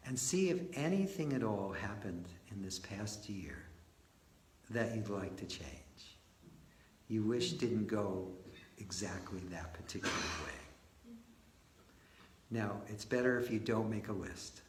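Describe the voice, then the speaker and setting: A man leading a guided meditation as its teacher, speaking in short phrases and gentle questions with long pauses between them. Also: on the low side, big pitch swings. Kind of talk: guided meditation led live, slow and spoken in short phrases. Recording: roomy echo with faint background noise.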